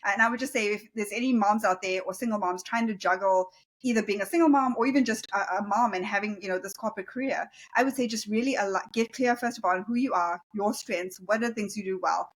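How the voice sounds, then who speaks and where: A woman's voice: mid-range voice, fast talker, poor audio. A woman from South Africa with a South African accent, a leadership coach speaking earnestly and reflectively as an interview guest, with many fillers. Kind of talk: conversational interview.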